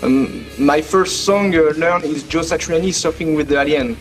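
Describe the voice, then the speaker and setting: A man in his forties with a French accent, a guest musician answering in halting English with many pauses. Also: on the high side, faint music behind. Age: 40s. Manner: hesitant, many fillers.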